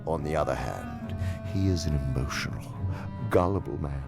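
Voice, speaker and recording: An actor playing a senior demon in a radio drama, a sly, imperious mentor who speaks pompously and savors each word with theatrical emphasis in a gravelly voice. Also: male voice, rumbling low, lively intonation, normal speed, over loud music, exaggerated voice. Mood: cold scheming.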